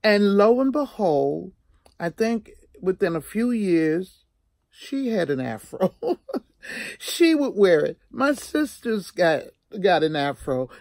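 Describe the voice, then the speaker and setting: Animated older woman, dry close sound. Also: lowish voice, dry recording. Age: 60s. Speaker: vlogger telling a personal story. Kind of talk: casual chatty storytelling.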